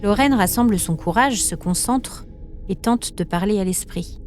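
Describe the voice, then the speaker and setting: A woman narrating a scripted story podcast in a measured, suspenseful, dramatic way, with short weighted phrases. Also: mid-range voice. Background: music.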